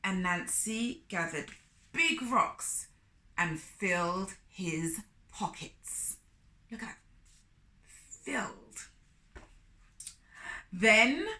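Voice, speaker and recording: A woman's voice: medium pitch, sharp tone. A woman telling a story to young children expressively, with exclamations and questions to the listener. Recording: echoey room.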